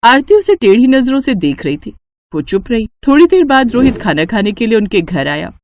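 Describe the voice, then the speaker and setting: A woman's voice: a little high, big pitch swings, moderate pace, a little mellow, no room sound, studio quality. A woman reading a scripted story as narrator.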